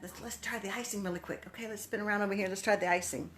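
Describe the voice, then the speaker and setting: A woman's voice: medium pitch, fairly even intonation, quick pace, noisy background. A woman from Texas with a Texan accent, hosting a casual live cooking stream and chatting with viewers by name in a kitchen. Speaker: cooking livestream host. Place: home kitchen.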